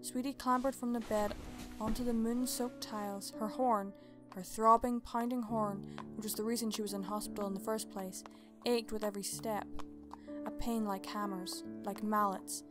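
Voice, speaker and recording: A woman narrating a story as host, in an expressive, measured, dramatic storybook style. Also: medium pitch, music in the background.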